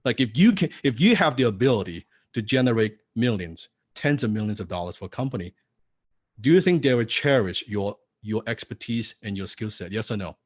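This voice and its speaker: A man's voice: on the low side, lively intonation, a little fast, no room sound, studio quality. A man teaching copywriting in a live seminar, non-native in English, firing rhetorical questions at the audience. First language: not English.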